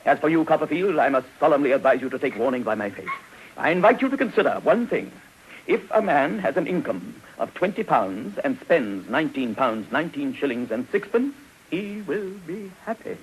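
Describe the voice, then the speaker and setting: An actor playing a pompous, florid debtor husband, theatrically tragic yet hopeful, in 1950s radio drama. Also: male voice, fairly high pitch, sing-song, moderate pace, low-quality recording. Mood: solemn, grandiloquent. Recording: hissy old 1950s radio recording.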